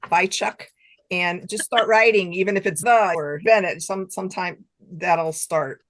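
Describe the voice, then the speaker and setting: Female interview guest, middle-aged, slightly low register, very little room sound, informal and self-deprecating. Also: steady tone, measured pace, fairly bright tone, no room sound. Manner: self-deprecating, chuckles between remarks. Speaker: guest author. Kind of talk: casual interview chat.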